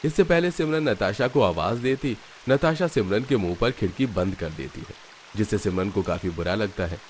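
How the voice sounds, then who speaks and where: Low-pitched male story narrator. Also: lively intonation, normal speed, a little mellow, slight background noise. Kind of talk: scripted cartoon story narration.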